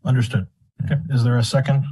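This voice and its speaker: A man's voice: medium pitch, level tone, fast, mellow, breathy edge, rough recording. A man chairing a formal board meeting, speaking politely but firmly in procedural language.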